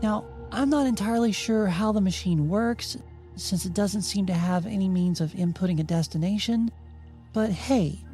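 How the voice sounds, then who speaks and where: A woman's voice: mid-range voice, normal speed, warm tone. A woman reading scripted narration in an audiobook style, with wry, dryly sarcastic asides. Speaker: host and narrator of a video game retrospective. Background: music under the voice.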